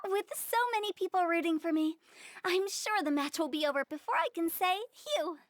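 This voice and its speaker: A woman's voice: very high-pitched, expressive, normal speed, dry recording. A woman voicing a confident, playful, quirky teenage girl with a breezy manner in an anime-style video game.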